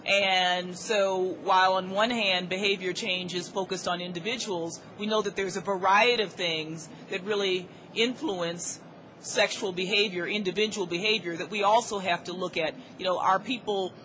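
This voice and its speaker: A woman answering a question on a panel, measured and thoughtful, with frequent pauses and restarts. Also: mid-range voice, fairly even intonation, a bit bright, a little hiss or hum, poor audio.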